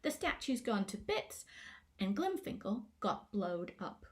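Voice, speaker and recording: A woman's voice: medium pitch, very animated, measured pace. A woman voicing a tiny, disgruntled female garden gnome who scolds in a folksy, indignant way for a storybook.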